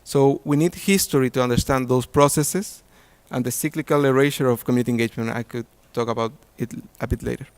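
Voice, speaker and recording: A man's voice: mid-range voice, steady tone, quick pace, hardly any pauses, darkish tone. Man presenting an academic talk. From Chile. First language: Spanish. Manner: hesitant, many fillers and restarts. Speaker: conference presenter.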